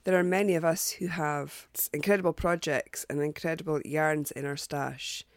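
A woman hosting a podcast, chatty and hesitant with lots of ums and restarts, sounding croaky and congested from a cold. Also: low-pitched, fairly even intonation, normal speed, no room sound, studio quality. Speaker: podcast host. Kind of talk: casual podcast sign-off.

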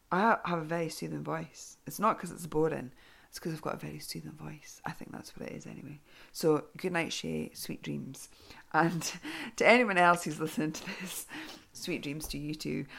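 A woman hosting a craft podcast in a chatty, rambling way, full of ums and restarts, her voice going hoarse. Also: low voice, a bit bright. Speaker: podcast host. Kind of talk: casual podcast chat.

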